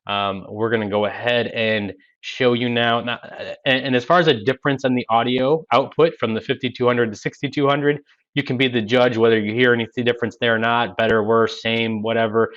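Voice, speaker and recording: A man's voice: medium pitch, steady tone, fairly quick, a bit bright. A man, giving a casual product demo and explaining things step by step. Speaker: presenter of product review videos. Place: small indoor office. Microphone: Bluetooth headset microphone. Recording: dull lo-fi headset sound.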